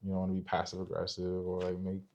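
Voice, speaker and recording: A young man with a deep, mellow voice that stays fairly flat and dips into fry. He co-hosts a casual podcast on relationships. He lays points out step by step and keeps checking that the listener follows. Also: racing, highs cut off. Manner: conversational, explains step by step, seeks agreement. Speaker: podcast co-host.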